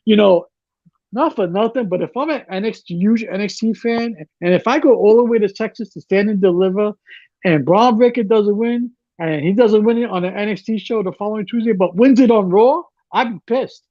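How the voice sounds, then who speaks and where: A man's voice: high-pitched, fairly quick, no echo, lo-fi sound. A man co-hosting a casual wrestling podcast, rambling and sarcastic, with frequent restarts. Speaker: podcast co-host.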